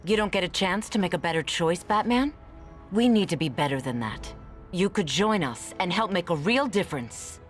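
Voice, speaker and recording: A female actor voicing a principled, encouraging superhero in an animated video game, earnest and persuasive. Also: on the low side, normal speed, faint music behind, close to an everyday voice.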